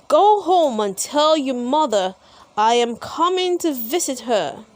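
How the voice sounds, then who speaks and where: A woman's voice: high-pitched, sing-song, slow pace, dry recording, heavily acted. A woman voicing an evil, short-tempered male magician in a storybook, with a commanding, bellowing delivery. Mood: sly.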